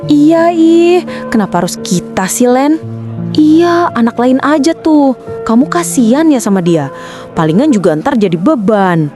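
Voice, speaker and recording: Woman voicing a snobby schoolgirl, whiny, lively swooping pitch, music underneath. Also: measured pace. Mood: scornful. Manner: whiny, dismissive. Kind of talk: cartoon voice acting. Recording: dialogue over music.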